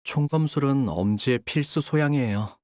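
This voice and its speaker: A man's voice: mid-range voice, moderate pace, darkish tone, dry recording, studio quality, lightly acted. A young man voicing a video game character, a dutiful young syndicate soldier who speaks formally and can turn coldly menacing. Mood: polite, measured. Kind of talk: acted character voice lines.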